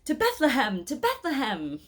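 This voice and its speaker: A woman's voice: sits high, wildly swooping pitch, moderate pace, husky, voice pushed up, heavily acted. A woman voicing a male shepherd who calls out to rally pilgrims in a storybook read-aloud. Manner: rallying, calling out. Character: rousing male shepherd with a crook, urging pilgrims on.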